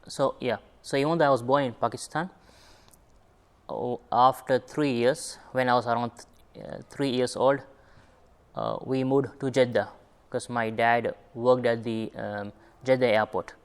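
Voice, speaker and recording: Young guy from sri lanka, smooth clear voice, slow and thoughtful, pauses to find words. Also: mid-range voice, fairly even intonation. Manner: unhurried, searching for words. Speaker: former student interviewee. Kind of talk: reflective personal interview.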